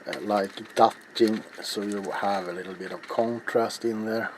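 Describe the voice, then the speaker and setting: A middle-aged man with a fairly low voice talks very slowly through a hands-on craft project. His delivery is casual and rambling, with an offhand, self-deprecating way about it. It is a home ASMR recording made in a small workshop, with clear noise behind the voice. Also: fairly even intonation. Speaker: ASMR craft video host. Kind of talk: casual hands-on ASMR tutorial while working. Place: small cluttered indoor hobby workshop.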